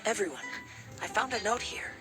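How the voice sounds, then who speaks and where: A calm, aloof young adventurer from an anime game, voiced by an actor. The soft-spoken voice moves up and down with lively intonation. Loud music plays behind it. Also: mid-range voice, normal speed, natural voice. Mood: calm, musing. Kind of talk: anime video game voice acting.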